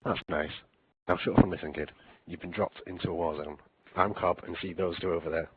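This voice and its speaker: A man playing a battle-hardened alien soldier in a sci-fi game audio drama, gruff, terse and wry, with a raspy voice. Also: low-pitched, steady tone, a little fast, no echo, rough recording. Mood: gruff, urgent. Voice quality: gravelly, raspy. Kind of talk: fan audio drama, game-story character acting.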